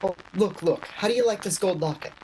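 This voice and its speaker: A woman acting in a radio play as an awkward, slyly teasing male shop clerk, with a halting, understated delivery. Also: mid-range voice, a little mellow, slight background noise, close to an everyday voice, low-quality recording. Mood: bashful, awkward. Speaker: amateur actor.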